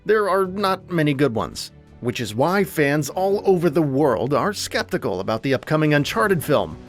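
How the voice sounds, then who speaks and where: A man's voice: fairly high pitch, sing-song, moderate pace, soft background music. A man reading scripted narration as the host of a movie list show, in a polished, emphatic presenter's delivery. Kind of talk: scripted video essay narration.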